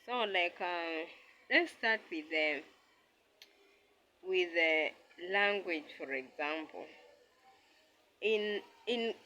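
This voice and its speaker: A speaker from Kenya with a Kenyan accent, giving a casual, halting talk to camera as an aspiring travel vlogger and often searching for words. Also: mid-range voice, pushed and bright, low-quality recording.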